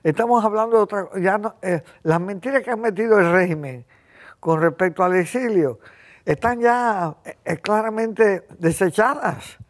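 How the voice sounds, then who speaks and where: Older Cuban woman host in her 80s, passionate and rambling, keeps clearing her throat. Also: mid-range voice, normal speed. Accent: Cuban Spanish. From Cuba. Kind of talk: casual conversation.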